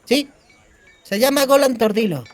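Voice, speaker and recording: A man's voice: very high voice, animated, faint music behind, voice pushed up. A man voicing a cheeky, bossy young woman bandit guide in an audiobook, sardonic and colloquial. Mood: brisk, bossy. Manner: sardonic, colloquial, explaining briskly. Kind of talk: audiobook character dialogue.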